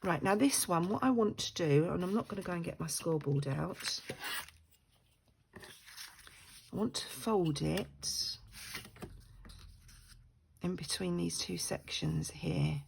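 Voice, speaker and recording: An older woman talks through her junk journal crafting at her desk at home, chatting and thinking aloud as she works. Her voice is croaky and deeply gravelly, with a touch of faint noise behind her. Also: very animated, takes it slowly, pauses often. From United Kingdom.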